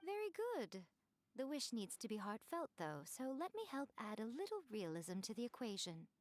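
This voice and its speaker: Sly, lilting fox spirit maiden. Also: female voice, on the high side, very animated, normal speed, slight background noise. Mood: playfully prodding. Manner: teasing, composed, coy. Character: sly, playful, poised female kitsune shrine maiden. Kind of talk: video game voice acting.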